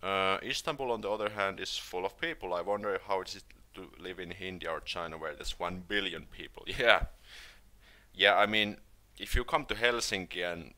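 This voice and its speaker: Finnish man with a low, bright voice. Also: moderate pace. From Finland. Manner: relaxed, hesitant, many fillers and restarts. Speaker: host answering live chat questions. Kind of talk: casual live stream chat.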